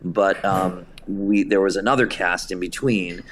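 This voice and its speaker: Low-pitched man telling theatre anecdotes, noisy background. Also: steady tone, measured pace. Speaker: interview guest, theatre director. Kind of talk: casual anecdotal interview talk. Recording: clear background noise.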